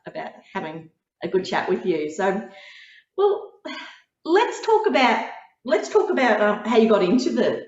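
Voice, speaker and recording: A woman hosting a casual video interview, chatty, laughing often and restarting her sentences. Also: mid-range voice. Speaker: podcast host. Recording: dull lo-fi sound in a roomy space.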